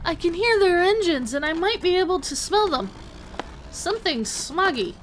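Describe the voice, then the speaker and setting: A woman's voice: very high-pitched, sing-song, normal speed, slight background noise. A woman playing a small, spirited female gnome adventurer in a fantasy audio story. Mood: alert, wary.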